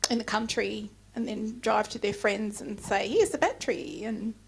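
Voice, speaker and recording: A woman's voice: mid-range voice, normal speed, gravelly, breathy edge, rough recording. A woman in the audience who asks the speaker a casual question and sets it up with a short story. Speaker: audience member asking a question.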